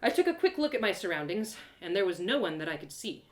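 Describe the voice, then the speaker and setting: Woman playing an excitable young adventure heroine, roomy sound. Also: medium pitch, quick pace, lightly acted. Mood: suspenseful. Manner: theatrical, breathless exclamations, gasps, self-deprecating humour. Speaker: audio drama performer. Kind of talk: one-woman audio drama storytelling, first person.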